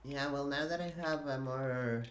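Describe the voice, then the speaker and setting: A man's voice: medium pitch, steady tone, normal speed, fairly bright tone, faint music behind. A man live-streaming a video game from home, chatting in a rambling way and sounding sniffly from allergies. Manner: rambling and chatty, many "like"s and self-corrections. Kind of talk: casual live-stream chat while playing. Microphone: desk microphone.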